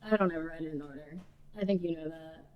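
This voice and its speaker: A young woman, a songwriter guest in a podcast interview, speaking in an easygoing way with laughs and soft trailing endings. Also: sits low, quick pace, narrow, tinny audio. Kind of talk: casual conversational interview.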